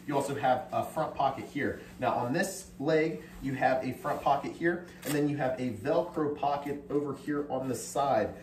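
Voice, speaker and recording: A man's voice: medium pitch, moderate pace, hardly any pauses, a little mellow, a little hiss or hum. A young man in his twenties giving an upbeat, casual product review at home, with lots of fillers as he walks around demonstrating. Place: home kitchen, indoors. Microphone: camera mic from across the room. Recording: roomy kitchen, voice from a distance.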